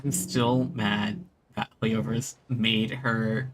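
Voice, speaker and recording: A streamer giving casual, chatty, expressive commentary while playing a video game. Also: mid-range voice, extremely slow, background noise. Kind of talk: casual let's-play commentary. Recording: soft game music behind.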